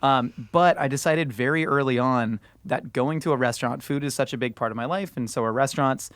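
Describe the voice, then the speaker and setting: A man in his thirties hosts a casual podcast chat, telling stories in an animated way and laughing often. His pitch sits in the middle range. The mic sound is close and dry. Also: no echo. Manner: animated storyteller, laughs often, drawn-out words. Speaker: podcast host.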